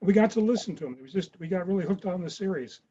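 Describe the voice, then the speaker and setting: Dull, noisy call audio, higher-voiced older man. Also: animated, fast, warm tone. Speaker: club member. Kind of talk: casual reminiscing. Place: video call.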